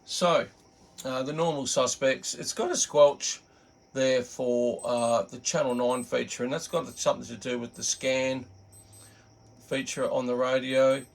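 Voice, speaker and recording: A middle-aged or older Australian man giving a casual, unscripted product walkthrough in a laid-back, halting, rambling way. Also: mid-range voice, fairly even intonation, creaky. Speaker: hobbyist radio reviewer.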